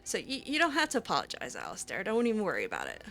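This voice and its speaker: A woman voicing her own character over a video game. She talks in a hesitant, rambling way, full of fillers and restarts, with a snarky edge. Her voice is bright and mid-pitched. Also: wildly swooping pitch, rapid-fire, gravelly. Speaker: gaming roleplay host.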